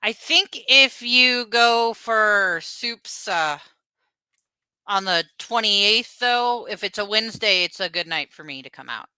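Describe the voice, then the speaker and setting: A woman co-host speaks in a bright, crisp voice, recorded close and dry. She answers mostly in short, dry remarks during casual chat. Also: mid-range voice, lively intonation, takes it slowly, no room sound.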